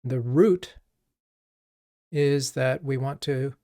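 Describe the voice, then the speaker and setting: A man from Japan hosting a coding livestream as a coach, chatting casually and haltingly as he thinks aloud. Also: mid-range voice, mellow, no echo, studio quality.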